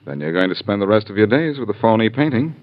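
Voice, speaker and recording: An actor playing a cool, quick-witted adventurer hero with a wry, clipped manner in a 1940s radio crime drama. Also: male voice, on the low side, sing-song. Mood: cool, needling. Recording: dull 1940s radio recording.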